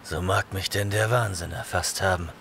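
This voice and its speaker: An actor playing a young barbarian warrior in an audio drama, exhausted and dazed, brooding and halting. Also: male voice, low-pitched, fairly even intonation, creaky, fairly bright tone, slight background noise. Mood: insistent. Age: young adult.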